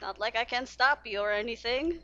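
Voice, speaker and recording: A woman's voice: on the high side, a little fast, sharp tone, highs cut off, a little hiss or hum. A woman giving casual, reactive gameplay commentary, expressively talking back to the game's characters. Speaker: gaming streamer.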